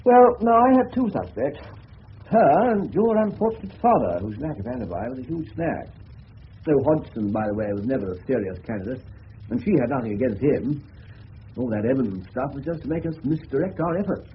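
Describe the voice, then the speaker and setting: An actor playing a genial, avuncular English police detective in a radio drama, courteous and explanatory with a slightly hesitant delivery. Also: male voice, mid-range voice, sing-song, fairly quick, lightly acted. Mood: explanatory, relishing. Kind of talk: 1970s British radio drama acting. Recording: muffled old radio recording with hiss.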